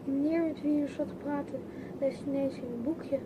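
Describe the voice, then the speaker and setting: A woman plays an inquisitive child travelling by train in a radio play. The voice is high and the questions are frank. Loud noise runs through the recording. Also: fairly even intonation, a little fast, close to an everyday voice. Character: curious, frank child riding a train with parents.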